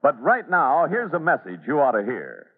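A man's voice: a little high, wildly swooping pitch, normal speed, breathy edge. A man narrating and announcing an old-time radio serial in an emphatic, theatrical scripted style. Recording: reverberant, tinny vintage radio recording.

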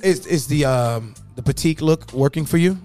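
A man's voice: on the high side, moderate pace, dark tone, faint music behind. A man hosting a casual, unscripted interview, asking blunt questions in slangy, informal language. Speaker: show host, interviewer.

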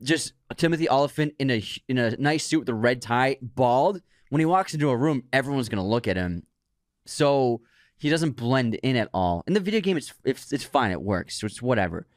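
A man co-hosting a podcast, chatting casually and giving animated opinions, often restarting words. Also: mid-range voice, fairly quick, dry recording, studio quality. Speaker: podcast co-host. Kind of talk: casual chat.

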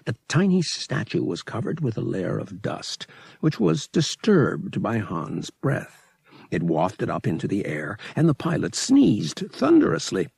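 A man reading a scripted audiobook story as narrator, in a deliberate, expressive, dramatic storytelling manner. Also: on the low side, creaky, husky, no room sound, studio quality.

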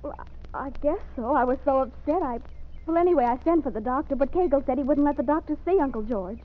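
A woman's voice: high voice, fast talker, lightly acted. An actress playing an earnest, spirited young American frontier woman in an old radio western. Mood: distressed. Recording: tinny, noisy old radio recording.

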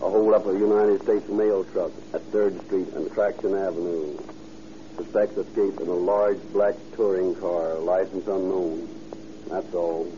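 A man's voice: deep voice, fairly even intonation, unhurried, warm tone. A man playing a terse, official police dispatcher reading a bulletin in a 1930s radio drama. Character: clipped, businesslike male police radio dispatcher. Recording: noisy muffled 1930s radio recording.

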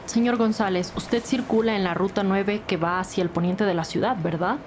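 A woman's voice: mid-range voice, steady tone, normal speed, background noise, natural voice. An actress playing a commanding female police detective who leads an investigation in an audio drama. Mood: brisk, questioning.

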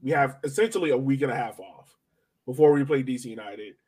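A man's voice: mid-range voice, quick pace, a little mellow, slightly rough. A man in a casual sports podcast discussion, thinking aloud and posing questions in a tentative way. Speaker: soccer podcast co-host.

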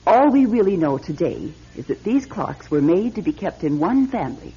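An actress playing an earnest, insistent older woman who appraises antiques in a classic radio drama, with a raspy voice. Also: on the low side, sing-song, moderate pace, warm tone, heavily acted. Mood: knowing, matter-of-fact. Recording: dull, lo-fi old radio recording.

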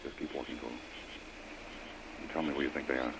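Deep-voiced, commanding radio adventurer. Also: male voice, steady tone, creaky. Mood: hushed, tense. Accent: American. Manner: brisk, authoritative, clipped orders. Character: tough, commanding male adventurer, bossy and impatient. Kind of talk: old-time radio adventure acting. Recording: old radio broadcast with faint noise.

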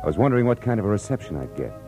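A man's voice: on the low side, very animated, rapid-fire. An American actor playing a wry, flirtatious, tough-talking male private detective in a 1940s radio drama. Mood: wry narration. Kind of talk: 1940s radio acting with first-person narration. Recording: old radio recording with music.

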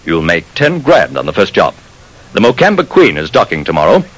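An actor playing a suave, menacing criminal in old-time radio style, speaking smoothly and with calculation. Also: male voice, deep voice, wildly swooping pitch, normal speed, fairly bright tone, heavily acted, low-quality recording. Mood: coolly conspiratorial. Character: suave, menacing male gem-dealing hijacker. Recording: vintage radio broadcast sound.

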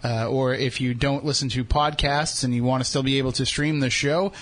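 A man's voice: medium pitch, steady tone, a little fast, fairly bright tone. A man with a Boston accent hosting a radio talk show, bantering casually and poking fun at himself. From New England. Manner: joking, self-deprecating.

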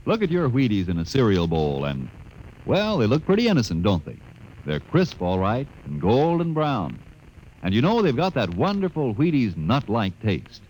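A man's voice: mid-range voice, huge pitch swings, moderate pace, darkish tone, a little hiss or hum, low-quality recording. A man giving a radio cereal commercial in a chatty, folksy and persuasive way. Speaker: commercial announcer.

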